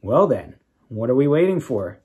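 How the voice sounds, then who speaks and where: A man's voice: mid-range voice, big pitch swings, normal speed, darkish tone, husky. A man voicing a big, sleepy, easygoing young bigfoot in a children's storybook, laid-back in manner. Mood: eager.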